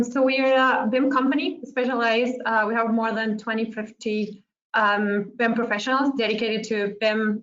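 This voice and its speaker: A woman's voice: on the high side, fairly bright tone. A woman from Argentina presenting a webinar, friendly and hesitant, with many fillers. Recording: muffled lo-fi online call audio.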